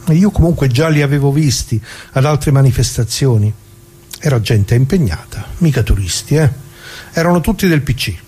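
An actor playing a nostalgic, proud older working-class communist in a radio theatre monologue, talking colloquially and haltingly, repeating his words with earnest feeling. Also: medium pitch, dark tone, husky. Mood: reminiscing.

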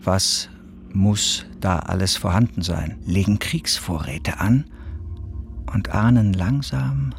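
A man performing as a solemn chronicler in a radio play, speaking in measured fragments. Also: low voice, gravelly, full character voice. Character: solemn male chronicler of an ancient war. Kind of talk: scripted radio play narration.

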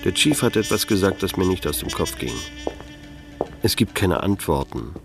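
A man's voice: sits low, animated, normal speed, gravelly. A man playing a wry, hard-boiled private detective in a noir radio play, with a dry, sardonic delivery. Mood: brooding narration. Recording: music under the voice. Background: music.

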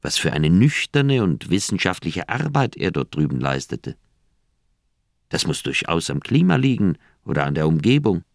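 Reflective older surgeon, very low gravelly voice, dramatic pauses, uneasy, radio crime drama. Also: male voice, sing-song, no room sound, clean studio sound, full character voice. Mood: pensive recounting.

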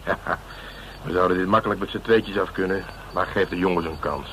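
An actor playing a cocky, hard-boiled police inspector in a radio drama, bossy and colloquial, with a self-satisfied way of talking. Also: male voice, deep voice, brisk, fairly bright tone, a little hiss or hum, poor audio. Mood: cocky, relaxed. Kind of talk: Dutch radio drama acting.

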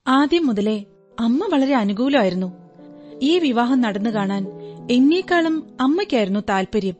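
A woman's voice: on the high side, lively intonation, normal speed, darkish tone, close to an everyday voice. A woman acting in a radio drama as an earnest, trusting young client, politely recounting her story in detail. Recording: radio drama with background music. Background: music.

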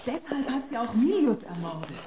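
An actress playing an earnest, sharp-witted programmer on the run in a radio drama. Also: female voice, on the high side, big pitch swings, moderate pace, noisy background. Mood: indignant.

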